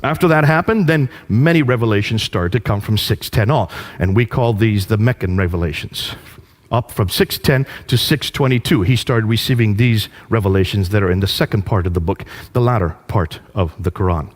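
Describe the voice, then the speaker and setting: Man lecturing with lively storytelling. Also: on the low side, normal speed. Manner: animated storytelling with rhetorical questions to the audience. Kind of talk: lecture to a live audience.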